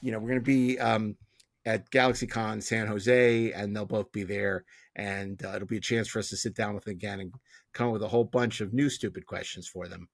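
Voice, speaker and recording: A man's voice: lowish voice, fairly even intonation, fairly quick, gravelly, no room sound, clean studio sound. A man hosting a podcast, chatting casually and jokily in a rambling way as he promotes upcoming events. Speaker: podcast host.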